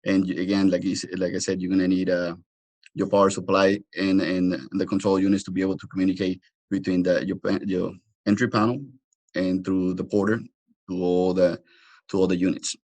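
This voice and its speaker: A man presenting an informal technical webinar as a product trainer, explaining haltingly with frequent restarts and repetitions. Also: low-pitched, little pitch movement, a little mellow.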